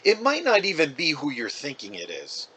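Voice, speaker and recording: A man's voice: fairly high pitch, wildly swooping pitch, normal speed, pushed and bright, husky, exaggerated voice, rough recording. A man voicing a disappointed, scolding mother of two young boys in a storybook, stern and earnest. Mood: measured.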